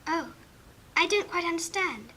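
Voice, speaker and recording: Little English girl: bright high voice, cut-glass RP, polite but indignant, curious, old storybook drama. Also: animated, a little slow, heavily acted. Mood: puzzled, polite. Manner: prim, precise, questioning. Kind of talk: 1950s recorded storybook dramatisation. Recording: noisy vintage 1950s record.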